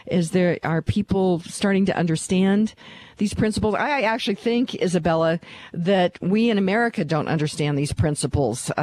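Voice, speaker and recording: A woman's voice: lowish voice, darkish tone, dry recording. A woman hosting her own talk radio show. She is earnest and emphatic and repeats her key phrases.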